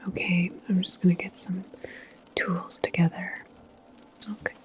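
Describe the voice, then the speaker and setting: A woman performing an ASMR roleplay as a station attendant, speaking in a breathy whisper with a gentle, reassuring, unhurried manner. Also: on the low side, level tone, poor audio.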